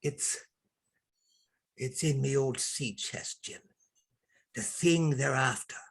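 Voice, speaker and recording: An actor playing a gruff, haunted old sea captain in a radio play reading, husky and gravelly, with brooding, salty sailor talk. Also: male voice, mid-range voice, takes it slowly. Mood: feverish, secretive.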